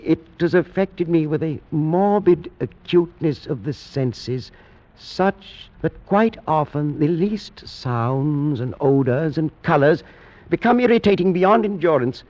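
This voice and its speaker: A frail, haunted nobleman with frayed nerves, his fairly high voice theatrical and halting. It is played as vintage radio horror, on a muffled old recording. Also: expressive, darkish tone. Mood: anxious confiding. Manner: theatrical, halting, swinging between weary and frenzied.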